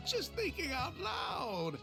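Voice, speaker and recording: A man voicing a jovial, sly perfume merchant in a video game, smooth-talking, breezy and theatrical. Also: very high voice, wildly swooping pitch, extremely slow, full character voice. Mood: breezy, probing. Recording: game audio with music behind.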